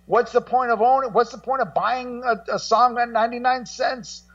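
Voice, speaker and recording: A man's voice: very high voice, fairly even intonation, normal speed, fairly bright tone. A middle-aged man talking casually as a guest on a music show, rambling and repeating himself, with some salty language. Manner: rambling, repetitive, salty-tongued. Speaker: guest co-host and music documentary maker. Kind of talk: casual music-business discussion. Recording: muffled, distant-sounding audio.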